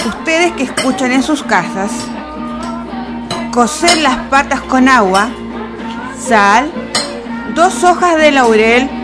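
A woman playing a restaurant owner in a radio drama, deliberately dictating a homely recipe. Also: high-pitched. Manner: deliberate, instructive.

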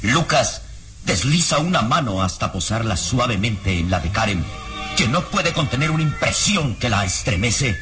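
A man's voice: medium pitch, big pitch swings, moderate pace, close to an everyday voice. A man performing as a solemn storyteller, giving dramatic scripted narration for an old radio mystery serial. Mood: solemn, atmospheric. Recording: old radio recording with music underneath.

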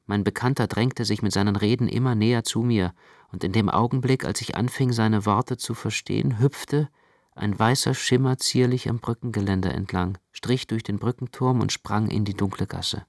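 An actor as a low-voiced, brooding male narrator. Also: normal speed, dry recording, studio quality, close to an everyday voice. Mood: anxious, intent. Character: restless, imperious, brooding male first-person narrator. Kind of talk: literary radio play narration.

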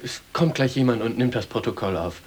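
An actor playing a slightly awkward, placating police officer in a radio drama, hesitant and official. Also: male voice, mid-range voice, fairly quick, a little hiss or hum, close to an everyday voice. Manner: hesitant, official, repeating himself.

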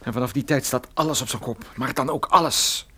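A man's voice: medium pitch, fairly quick, pushed and bright, husky. An actor playing a shaken, self-mocking male private detective in a Dutch radio play, with a sardonic way of talking. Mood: agitated, bitter.

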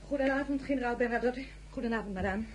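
A woman's voice: fairly high pitch, fast talker, noisy background, lightly acted. A woman playing an anguished, proud older mother in a radio drama, speaking formally, emphatically and imploringly. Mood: grave, formal. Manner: formal, emphatic, pleading, with Italian words mixed in. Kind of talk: Dutch radio drama acting.

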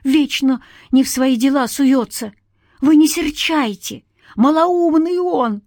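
A wheedling village man from a dark folk tale, acted by a woman. The voice is pushed high and strained. He talks loudly and colloquially, fawning and scornful at once, in a dry recording. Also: slow, dry recording. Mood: pleading, dismissive. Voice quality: strained, broken voice. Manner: ingratiating, colloquial, loud.

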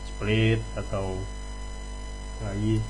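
A man hosting a casual radio interview, halting as he searches for words. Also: lowish voice, level tone, a little mellow, faint music behind, rough recording. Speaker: radio host interviewing a band.

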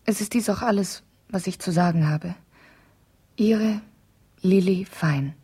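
An actress playing a weary, neglected wife in a radio play, plaintive and formal, with a soft husky voice. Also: female voice, mid-range voice, unhurried. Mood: tearful, resigned. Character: neglected, suffering wife, weary and self-dramatizing. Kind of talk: radio play, letter monologue.

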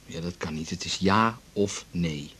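An actor playing a probing, practical and slightly sardonic husband in a radio drama, sometimes halting. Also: male voice, deep voice, fairly bright tone, slightly rough, heavily acted. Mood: guarded, probing.

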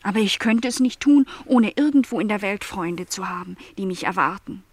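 An actress playing a lonely twenty-year-old housemaid in a radio play, wistful and stubborn, speaking earnestly and insistently. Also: female voice, mid-range voice, expressive, moderate pace, no echo. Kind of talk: literary radio play dialogue.

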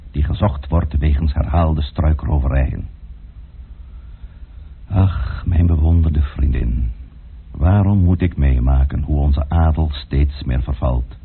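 An actor voicing a scheming aristocrat reading a secret letter aloud, grave and measured, in an old radio play. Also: male voice, extremely low pitch, husky, exaggerated voice. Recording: old lo-fi radio recording with music.

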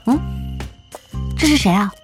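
A woman's voice: on the high side, lively intonation, unhurried, music in the background. An actress playing a sweet, curious and caring young woman in an audio drama. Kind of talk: Chinese audio drama acting.

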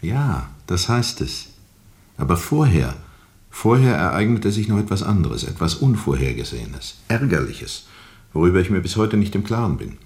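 An actor playing a world-weary riverboat captain in a radio drama, recounting his journey in deliberate, reflective, literary phrasing. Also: low voice, sing-song, darkish tone. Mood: brooding recollection.

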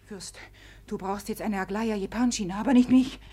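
An actress in a 1950s German radio drama playing a proud, wounded, reckless young society beauty, theatrical and commanding, with bitter resignation underneath. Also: female voice, mid-range voice, a little fast, mellow, slightly rough, lightly acted. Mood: tender, resigned.

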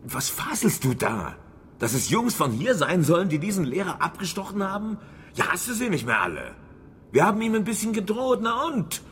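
Scornful tradesman with wildly swinging pitch. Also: sing-song, normal speed, fairly bright tone, a little hiss or hum. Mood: scornful, indignant. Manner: scornful, evasive. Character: dismissive, gruff male local traders' association chairman. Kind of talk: radio crime drama acting.

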